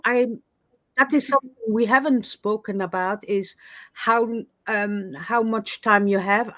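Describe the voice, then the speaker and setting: A Swiss French woman hosting a casual livestream, chatty and quick to laugh. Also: a little high, a bit bright, no room sound, poor audio. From Switzerland. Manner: chatty, laughs often, with fillers. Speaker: livestream host.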